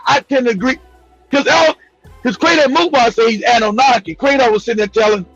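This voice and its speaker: A man hosting a casual talk show, speaking in a profane, excitable way and laughing often. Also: very high voice, creaky, no room sound, highs cut off. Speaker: host.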